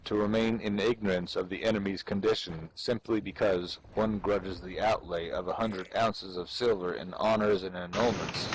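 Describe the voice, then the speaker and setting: Male reader, lowish voice, portentous and theatrical delivery of an old war text, unhurried, some background noise. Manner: theatrical and portentous, with playful asides. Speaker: amateur reader performing for a live audience of gamers. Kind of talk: dramatic reading of an ancient military treatise. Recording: clearly noisy background.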